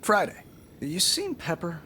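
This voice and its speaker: Cocky wisecracking billionaire hero, lively swooping delivery. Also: male voice, sits high, a little slow, noisy background, heavily acted. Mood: flippant. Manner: glib, wry, self-assured. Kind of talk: video game cutscene acting.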